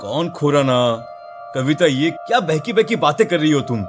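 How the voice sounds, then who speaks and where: A casual, puzzled husband, played by a man, speaks in a slightly high male voice with animated swings. Loud music runs under his questioning horror-story delivery. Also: moderate pace. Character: concerned husband, casual and puzzled, in a haunted house. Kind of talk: Hindi horror audio story acting.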